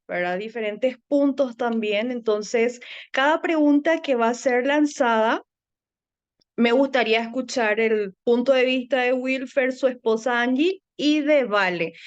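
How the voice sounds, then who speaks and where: A woman's voice: on the high side, muffled recording. A woman hosting a casual talk show, chatty and laughing easily as she welcomes her guests. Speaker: show host.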